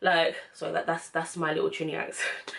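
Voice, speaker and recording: A woman's voice: sits low, flat delivery, brisk, creaky, fairly bright tone, a little hiss or hum. A young woman gives casual, encouraging advice in a slangy, informal vlog style. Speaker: self-help vlogger.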